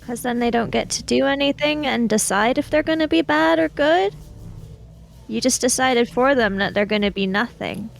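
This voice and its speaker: A woman's voice: on the high side, normal speed, vocal fry. A woman playing a blunt, moral young hero in a tabletop roleplay, plain-spoken and dry. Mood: earnest arguing. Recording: faint background noise.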